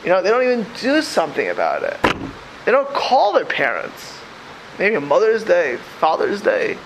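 Rabbi guy in his 30s teaching a class, high voice jumping all over, keeps repeating himself, lil hiss behind. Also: normal speed. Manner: animated, repeats words and restarts often, laughs between points. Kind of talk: Torah class talk.

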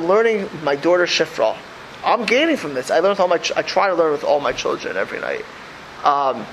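Animated man with a high, lively voice. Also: a little hiss or hum, poor audio. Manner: animated, repeats words and restarts often, laughs between points. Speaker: rabbi. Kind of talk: Torah class talk.

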